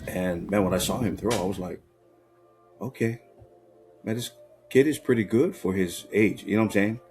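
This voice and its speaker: A man, a quarterback coach, speaking in an animated, conversational way in a documentary interview. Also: fairly low pitch, moderate pace, soft background music. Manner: animated, conversational with "you know what I'm saying".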